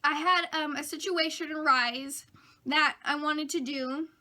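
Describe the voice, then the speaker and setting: Hoarse, bright-voiced chatty young woman. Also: high voice, unhurried. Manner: chatty, self-mocking, animated storytelling. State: hoarse from a cold. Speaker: vlogger. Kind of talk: casual chat to camera.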